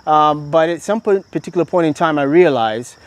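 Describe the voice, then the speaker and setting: Thoughtful Miami-raised farmer, slightly high voice. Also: male voice, lively intonation, dry recording. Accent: American from Miami, with some Kenyan influence. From Miami, Florida. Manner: reflective, speaks with frequent "uh" pauses and building metaphors. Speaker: organic farmer, interview guest. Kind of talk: casual interview. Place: raised platform outdoors on a rural farm.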